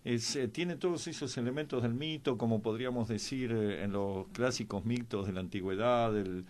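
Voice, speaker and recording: A man's voice: medium pitch, moderate pace, a little mellow. A man with an Argentine accent giving reflective, explanatory historical analysis in a conversation. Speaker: history commentator.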